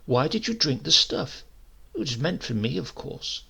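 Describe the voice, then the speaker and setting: A man's voice: on the high side, very animated, moderate pace, creaky, bright, forceful tone, slightly rough, heavily acted. A man voicing a suspicious nephew, a writer who speaks with dry, pointed politeness, in a storybook reading. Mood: pointed, insistent. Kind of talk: acted dialogue in a storybook reading.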